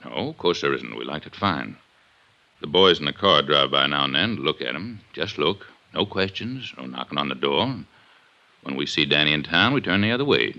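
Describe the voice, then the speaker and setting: An actor playing a gruff, middle-aged, gray-haired police inspector in a 1950s radio drama, blunt and insistent. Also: male voice, rumbling low, lively intonation, moderate pace, bright, forceful tone, exaggerated voice. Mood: explaining, insistent. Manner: blunt, insistent, clipped questioning. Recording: dull vintage 1950s radio recording.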